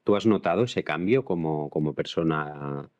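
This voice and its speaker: A man with a very low voice hosts an interview, asking long, careful questions between short acknowledgements. The sound is dry and muffled, with almost no room. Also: normal speed, a little mellow, no echo. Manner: brief backchannels, longer probing questions. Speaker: podcast host.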